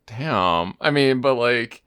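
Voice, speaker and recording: A man's voice: medium pitch, expressive, takes it slowly, a bit bright, clean tone. A man co-hosting a podcast, chatting casually and hesitantly, saying "like" constantly. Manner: hesitant, heavy use of "like". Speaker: podcast co-host.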